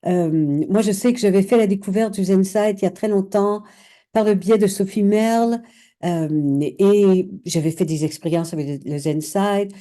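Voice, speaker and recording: Dark-voiced woman host, hesitant. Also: mid-range voice, normal speed. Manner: hesitant, filler-heavy, friendly questions. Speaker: interviewer, host. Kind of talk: casual interview chat.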